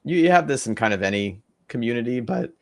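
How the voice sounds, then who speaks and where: A man's voice: medium pitch, lively intonation, fast, darkish tone. A young man co-hosting a podcast, explaining an idea in a thoughtful, rambling way with many fillers and restarts. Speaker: podcast co-host. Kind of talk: casual podcast discussion.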